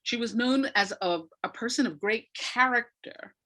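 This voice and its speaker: A woman's voice: on the high side, moderate pace, pushed and bright. A woman giving reflective, conversational explanations as an interview guest, thoughtfully searching for her words. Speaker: academic and audio dramatist, interview guest.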